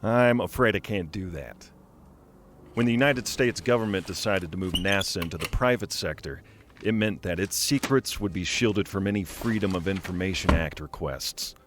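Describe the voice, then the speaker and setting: A man playing a guarded, defiant company executive in an audio drama, speaking in a measured, formal, evasive way. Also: low-pitched, background noise, lightly acted.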